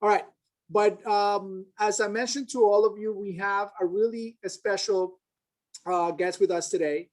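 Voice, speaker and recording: Woman hosting, mid-pitched voice. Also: fairly even intonation, unhurried, a little mellow. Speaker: event host. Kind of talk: announcements and guest introduction.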